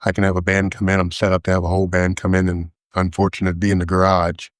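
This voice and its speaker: A middle-aged American man with a low-pitched voice, recorded close and dry. He talks conversationally as a guest, laying out technical points and anecdotes in a plain, explanatory manner. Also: monotone, fast talker, no room sound. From West Virginia, United States. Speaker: sound engineer, interview guest.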